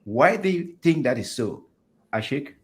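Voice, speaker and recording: A man's voice: on the high side, big pitch swings, measured pace. A man from Africa hosting a virtual fireside chat over a video call, chatty and enthusiastic, laughing easily. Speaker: host.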